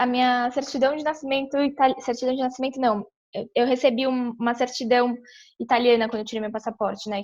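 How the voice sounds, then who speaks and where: A young woman from São Paulo with a Brazilian accent, explaining a screen-share tutorial in a chatty way, full of fillers and restarts. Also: on the high side. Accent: São Paulo Brazilian Portuguese. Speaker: vlogger walking through a visa application. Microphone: webcam on a computer. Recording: dull lo-fi webcam audio.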